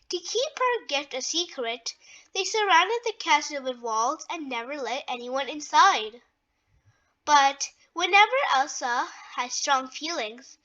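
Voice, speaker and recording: A woman reading a children's story aloud in a deliberate, expressive way with emphatic phrasing. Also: high voice, dull audio.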